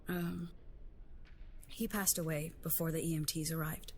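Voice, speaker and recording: An actress playing a courteous police detective in a drama, speaking in a formal, measured, careful way. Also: female voice, deep voice, little pitch movement, slight background noise. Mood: careful, somber. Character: composed, courteous female police detective breaking bad news. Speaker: supporting actress. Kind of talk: naturalistic screen drama acting.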